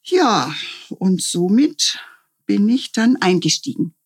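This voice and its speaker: A middle-aged woman, a volunteer hospice companion, speaking reflectively in a conversational podcast interview, often pausing to restart her sentences. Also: mid-range voice, sing-song. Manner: reflective, with frequent short restarts.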